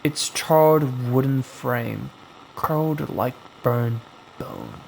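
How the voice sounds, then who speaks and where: Male horror narrator, scripted and dramatic, extremely slow with suspenseful gaps, faint noise behind the voice. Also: mid-range voice, gravelly, darkish tone, rough recording. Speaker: horror storyteller.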